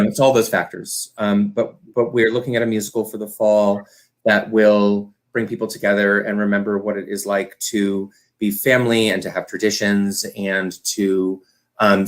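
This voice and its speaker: Chatty man in casual interview. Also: lowish voice. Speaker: guest, community theater director.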